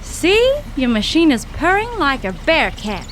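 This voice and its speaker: A woman playing a brisk, bold young woman. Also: high-pitched, huge pitch swings, fairly bright tone, slight background noise, full character voice. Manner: folksy sayings, brisk and impatient.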